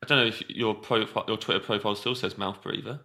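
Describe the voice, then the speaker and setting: A nasal man tells an embarrassing story on a podcast, laughing at himself as he goes. His voice is gravelly and very bright, and he talks fast. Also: lowish voice, steady tone. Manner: self-deprecating storyteller, laughs often. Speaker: podcast host. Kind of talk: casual chat.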